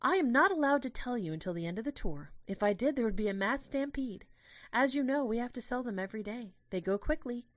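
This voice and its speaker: A woman voicing a gentle, petite female tour guide who explains things politely to a group, in an audio drama. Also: on the high side, expressive, a little fast, no echo, clean studio sound, voice pushed up, lightly acted. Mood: earnest. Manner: explanatory, polite public address.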